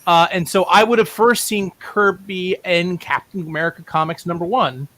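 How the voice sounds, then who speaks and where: Bright-voiced male writer, discursive. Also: high-pitched, steady tone, normal speed. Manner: informed, discursive, self-correcting. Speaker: writer and playwright guest. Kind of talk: casual interview storytelling.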